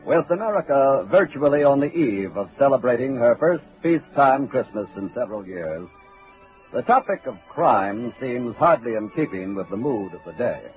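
A man's voice: mid-range voice, big pitch swings, moderate pace, soft background music. A man narrating a crime drama in scripted 1940s radio style, formal, solemn and oratorical. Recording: tinny 1940s radio recording.

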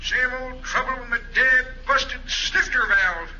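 An actor playing a cranky, sarcastic rustic reservoir worker in a 1940s radio comedy, folksy and wisecracking. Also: male voice, extremely high pitch, a little slow, pushed and bright, heavily acted. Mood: irritable. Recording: noisy muffled 1940s radio recording.

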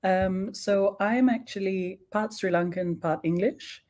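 A young woman speaking as a guest expert in an online talk, thoughtful, pausing often with ums and restarting her sentences. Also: mid-range voice, steady tone, darkish tone. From born in Sweden, raised in several countries.

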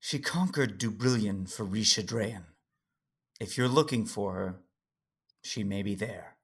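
An actor playing a calm, guarded alien Jedi man in an audio drama, with a husky voice and a probing, persuasive way of talking. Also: on the low side, unhurried, heavily acted. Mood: earnest.

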